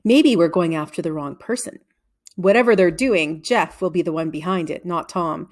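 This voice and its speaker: A woman playing a thoughtful, determined schoolteacher in a children's story, measured and serious. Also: on the low side, darkish tone, close to an everyday voice.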